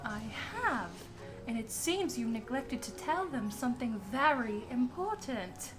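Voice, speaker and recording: Woman acting high-voiced genteel young hostess. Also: lively intonation, measured pace, music in the background. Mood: teasing. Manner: polite, genteel, playful phrasing. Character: gracious, teasing young Victorian wife and hostess. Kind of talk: scripted audio roleplay acting.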